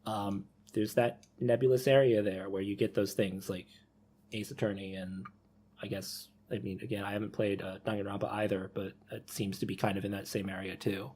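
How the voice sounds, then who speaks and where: A man's voice: fairly low pitch, level tone, normal speed, a little mellow, slightly rough. A man hosting a casual podcast discussion, rambling and rephrasing as he thinks aloud. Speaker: podcast host.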